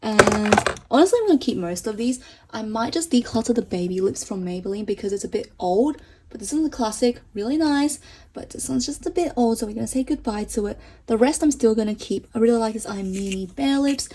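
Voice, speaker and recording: A woman vlogger chatting casually through a makeup review, enthusiastic and full of fillers, her voice getting hoarse. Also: on the high side, animated, brisk, a little mellow. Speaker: beauty vlogger.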